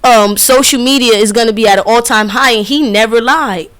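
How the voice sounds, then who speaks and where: A woman's voice: a little high, fairly quick, a bit bright. A woman radio host in casual conversation, speaking in an earnest, emphatic, colloquial way. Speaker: radio DJ and interviewer.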